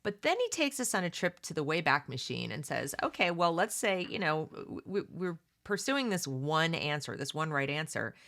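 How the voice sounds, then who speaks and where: Low-voiced woman, conversational and emphatic. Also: sing-song, moderate pace, few pauses, a bit bright. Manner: conversational, emphatic, often addressing listeners directly. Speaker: host of a read-along commentary channel. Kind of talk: reading a book aloud with casual, opinionated commentary.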